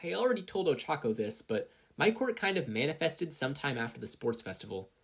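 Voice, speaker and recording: An earnest teenage boy hero student from a story, put on by a reader, pitched well up from a normal voice. He sounds eager and a little sheepish, with a lively, nervous lilt. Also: a little fast, vocal fry, husky, exaggerated voice.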